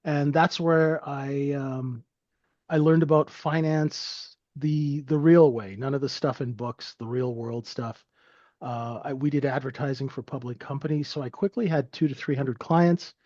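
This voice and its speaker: A man's voice: mid-range voice, fairly even intonation, unhurried, darkish tone, no room sound, lo-fi sound. A man in his fifties from Canada, telling his life story in a rambling, friendly way with lots of fillers. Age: 50s. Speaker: guest trader. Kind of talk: casual interview storytelling.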